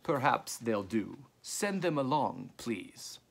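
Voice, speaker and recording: A man voicing a courteous, solemn gentleman with a deep Hungarian accent, trading bones in a storybook. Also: very animated, slow pace, creaky, slightly rough, heavily acted. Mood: solemn, polite. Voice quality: deep, masculine.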